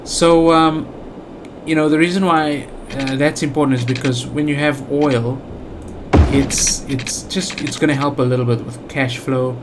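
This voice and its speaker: A man's voice: fairly high pitch, fairly even intonation, unhurried, background noise. A man with a South African accent giving casual let's play commentary, thinking aloud with lots of fillers and restarts. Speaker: gaming channel host.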